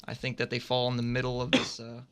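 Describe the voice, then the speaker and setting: A man's voice: medium pitch, completely flat delivery, quick pace, fairly bright tone, clear, resonant tone. A man giving firm opinions and predictions as a panelist on a roundtable sports radio show, recorded in a studio.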